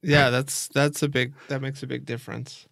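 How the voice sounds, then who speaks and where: A man's voice: medium pitch, little pitch movement, moderate pace, creaky, fairly bright tone, no echo, studio quality. A man working as an interviewer, talking casually and conversationally as he thinks through his questions.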